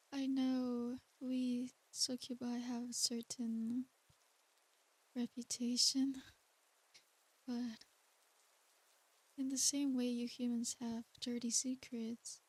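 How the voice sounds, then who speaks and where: A high, breathy female demon, acted by a woman dotes on the listener in an ASMR roleplay. This tender succubus coaxes softly and soothingly, taking her time over every phrase and using affectionate pet names. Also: flat delivery, drawn-out words, long pauses, full character voice, rough recording. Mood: affectionate, coaxing. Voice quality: soft, breathy.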